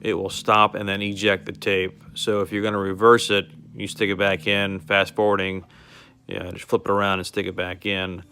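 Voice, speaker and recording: A man with a fairly low voice walks a customer through a piece of equipment. His delivery is informal and explanatory, going step by step. Faint music plays behind him. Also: bright, forceful tone. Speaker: car radio restorer demonstrating a converted radio. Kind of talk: informal product demonstration to a customer.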